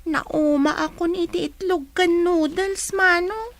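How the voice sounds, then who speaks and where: A very high, small voice of a hungry orphan girl in an Ilocano radio drama. She is plaintive but speaks up for herself, with an Ilocano accent. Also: fairly even intonation, slow, heavily acted.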